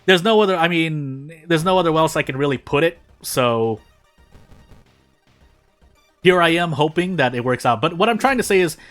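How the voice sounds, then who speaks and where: Guy a bit on the high side, rambly and self-deprecating, casual gamer video talk, some music behind him. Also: lively intonation, moderate pace, pauses often, fairly bright tone. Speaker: gaming video host. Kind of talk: casual gameplay commentary and fanfic reading.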